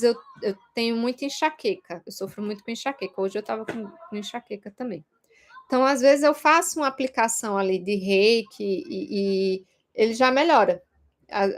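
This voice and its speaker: A woman with a Brazilian Portuguese accent speaks in a fairly high register. She teaches as if chatting directly with her students, explaining informally and adding little tag questions to check that they follow. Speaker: Reiki course teacher.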